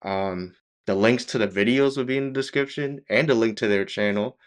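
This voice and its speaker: A man hosting a reaction video, giving casual commentary in a nonchalant, low-key way with trailing, hesitant phrases. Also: moderate pace.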